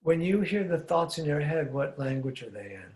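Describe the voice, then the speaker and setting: An older man hosting a podcast, asking curious, rambling questions that often trail off, speaking into a studio mic on a boom arm while wearing headphones. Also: sing-song, moderate pace, darkish tone. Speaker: podcast host. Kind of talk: casual conversational interview. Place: room at home with blinds, indoors. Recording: roomy echoey sound.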